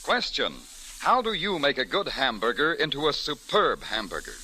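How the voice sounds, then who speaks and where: A man announcing in the style of an old radio sponsor's pitch. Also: sing-song, normal speed, pushed and bright, breathy edge, rough recording. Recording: hissy old-time radio recording.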